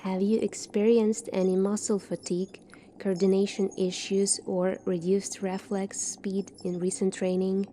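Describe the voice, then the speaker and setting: Slow, soft-spoken woman as a doctor. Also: mid-range voice, flat delivery, a little hiss or hum. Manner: soft-spoken, measured questioning. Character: calm seasoned future military doctor examining a space recruit. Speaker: ASMR roleplay performer. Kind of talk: ASMR medical roleplay.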